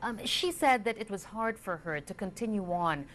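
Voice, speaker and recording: A woman's voice: mid-range voice, lively intonation, normal speed, fairly bright tone, slight background noise. A woman anchoring a TV interview, who hesitates and fills her questions with ums and uhs. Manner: hesitant, with many fillers. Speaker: news anchor.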